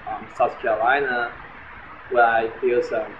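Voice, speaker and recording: Flat-voiced man, phone-like sound. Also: medium pitch. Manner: halting, measured. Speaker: interviewee. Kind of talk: interview answer. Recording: phone-like sound with faint noise.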